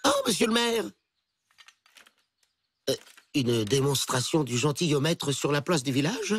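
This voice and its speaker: A man voicing an excitable, bumbling, kindly inventor uncle in a French cartoon, often hesitant and flustered. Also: on the high side, a little slow, slightly rough, exaggerated voice. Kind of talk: French cartoon dub acting.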